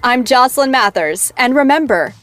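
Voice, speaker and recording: A bubbly, teasing talk show host. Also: female voice, high voice, expressive, moderate pace, a bit bright, soft background music. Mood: upbeat teasing. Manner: playful, teasing, theatrical.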